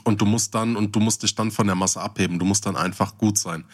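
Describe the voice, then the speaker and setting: A man's voice: fairly low pitch, steady tone, quick pace. A man with a German accent chatting casually as co-host of a podcast.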